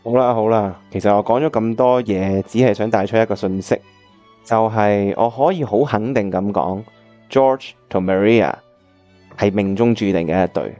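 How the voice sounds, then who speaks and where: Guy acting earnest hong kong cantonese bachelor in a radio play, low mellow voice, bit muffled, music behind. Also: moderate pace, lightly acted. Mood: heartfelt speech. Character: earnest, reflective bachelor looking back on old love. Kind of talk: Cantonese radio drama narration and dialogue.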